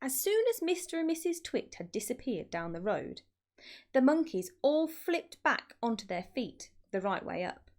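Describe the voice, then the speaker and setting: Highly animated woman reading a storybook. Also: moderate pace. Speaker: storybook reader. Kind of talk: expressive children's scripted narration.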